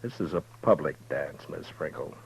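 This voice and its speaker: An actor playing a stern, steady frontier marshal in a 1950s radio drama, speaking firmly and evenly. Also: male voice, a bass voice, creaky, husky, exaggerated voice. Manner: firm, level, unhurried. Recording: dull 1950s radio broadcast.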